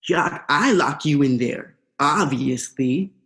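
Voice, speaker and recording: A woman's voice: low-pitched, slow pace, a bit bright. A young woman in a student radio play, playing a laid-back, vengeful male eel with a casual, slangy drawl. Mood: sardonic, menacing. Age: young adult.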